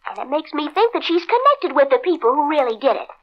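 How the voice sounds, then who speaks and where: Plucky young girl detective, very high and lively, earnest, 1940s radio drama on a noisy old recording. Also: fast talker, dull audio. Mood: eager, determined. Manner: earnest, eager, reasoning aloud. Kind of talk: 1940s radio serial acting.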